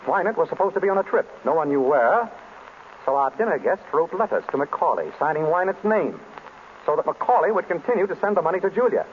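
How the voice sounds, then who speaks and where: Suave sleuth played by a man, breezy and authoritative, very animated delivery, 1940s-style radio drama with audible hiss. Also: on the high side, fast talker, lightly acted. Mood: measured, accusing. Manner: breezy, urbane, in command. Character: suave, wry male detective hosting a dinner of suspects. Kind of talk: old-time radio drama acting. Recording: noisy, tinny vintage radio broadcast.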